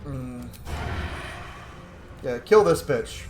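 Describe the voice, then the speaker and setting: A man's voice: mid-range voice, lively intonation, extremely slow, echoey room, music in the background. A man giving casual let's-play commentary as a video host, playful and sassy and swearing freely.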